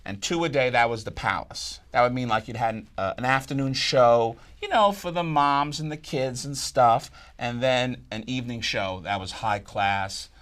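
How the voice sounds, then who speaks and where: A man chatting casually as an interviewee, telling stories in a joking, animated way. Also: medium pitch, moderate pace, few pauses. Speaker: vaudeville performer, interviewee. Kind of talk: casual group interview chat.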